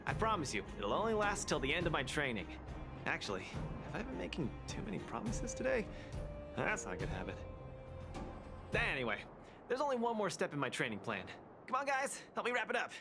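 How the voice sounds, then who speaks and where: A man voicing a cocky, upbeat young cage fighter in a video game, boastful, excitable and easygoing. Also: a little high, a little fast, pauses often. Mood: cheerful, breezy. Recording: loud game music behind. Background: loud game music.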